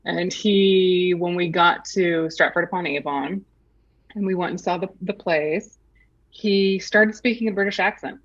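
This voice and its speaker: A woman author speaks over a video call in a lowish voice, and the remote line sounds slightly dull. She tells stories in a relaxed way and stops to rethink her phrasing. She laughs easily with the hosts. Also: unhurried. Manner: relaxed anecdotal storytelling with hesitations. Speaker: author guest. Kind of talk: interview storytelling.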